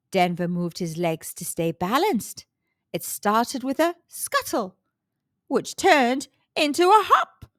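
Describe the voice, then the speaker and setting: High, animated, slow-paced woman storyteller. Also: no room sound, studio quality. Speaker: children's storytime host and narrator. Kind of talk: expressive read-aloud storytelling for children.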